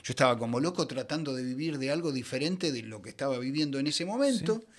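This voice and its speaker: A middle-aged Argentine man from Buenos Aires telling anecdotes conversationally as an interview guest, in an expressive, theatrical way. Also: medium pitch, quick pace. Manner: expressive storyteller, acts out quoted dialogue. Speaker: interview guest, veteran voice actor.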